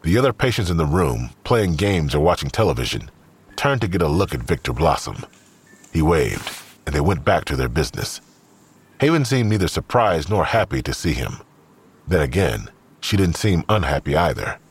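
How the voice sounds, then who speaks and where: Very raspy, deep male narrator. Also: very animated, normal speed. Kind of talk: scripted narration of an audio drama.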